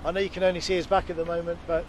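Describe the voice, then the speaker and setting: A middle-aged man with a fairly high voice talks casually and gives steady sailing commands. He is recorded by an action camera on an open boat on the water. A light wash of wind sits under his voice. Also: rapid-fire. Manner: chatty, gives calm helm commands. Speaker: boat owner and channel host. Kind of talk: casual vlog narration and sailing instruction. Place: open sailboat cockpit on the water.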